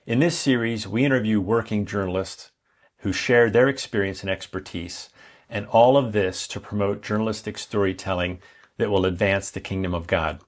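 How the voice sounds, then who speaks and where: Low-voiced male host, polished intro. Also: normal speed. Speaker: interview host. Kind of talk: scripted show introduction.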